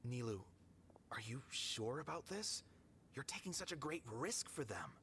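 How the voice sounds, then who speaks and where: A middle-aged game character, a protective manager with a medium-pitched male voice. He sounds grave, measured and kindly, with faint background sound. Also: sing-song, pushed and bright, slight background noise. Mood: worried. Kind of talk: video game voice acting.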